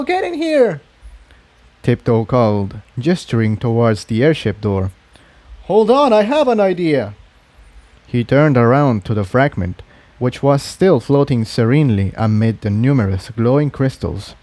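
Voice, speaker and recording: A man's voice: mid-range voice, dark tone, no echo. A man narrating a scripted fantasy story as a reader. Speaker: narrator, fan fiction reader.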